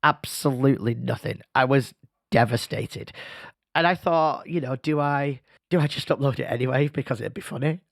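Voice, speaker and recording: A man's voice: medium pitch, moderate pace, creaky, no echo, clean studio sound. A man who is losing his voice, hosting a casual solo podcast in a self-deprecating, rambling way. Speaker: podcast host. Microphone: podcast microphone.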